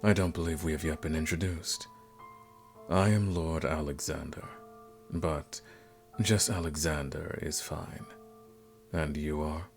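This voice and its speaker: A man playing a suave, courteous vampire nobleman in a scripted ASMR roleplay, speaking formally and softly in a gravelly voice. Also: exaggerated voice. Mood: courteous, charming. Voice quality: very deep, gravelly. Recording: music playing behind the voice.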